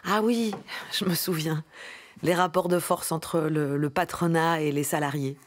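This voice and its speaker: An actress playing a naive, timid employee in a satirical radio drama, speaking haltingly and deferentially, as if asking permission. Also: female voice, low voice, fairly even intonation, slight background noise. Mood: tentative, curious. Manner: hesitant, halting, deferential, questioning. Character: timid, naive female employee being coached.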